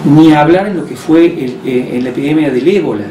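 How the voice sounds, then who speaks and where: A man with an Argentine accent, a hospital director who explains health measures on the radio in a measured way, with frequent hesitations. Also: on the high side, mellow. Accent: Argentine Spanish. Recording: roomy distant mic with faint noise.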